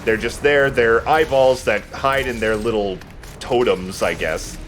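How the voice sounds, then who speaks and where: A man's voice: medium pitch, measured pace, fairly bright tone, a little hiss or hum. A man giving casual, conversational gameplay commentary as a let's play host.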